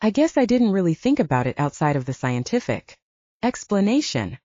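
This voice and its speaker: A woman's voice: mid-range voice, very animated, fairly quick, mellow, no echo, muffled recording. A woman voicing a curious, earnest adult heroine in a paranormal romance audiobook, candid and questioning. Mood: awed.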